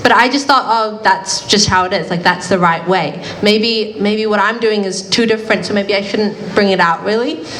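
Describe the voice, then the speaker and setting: A woman's voice: mid-range voice, moderate pace, a bit bright. A young woman from Finland telling a personal story on a panel, hesitant, with many fillers. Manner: hesitant, many likes and ums.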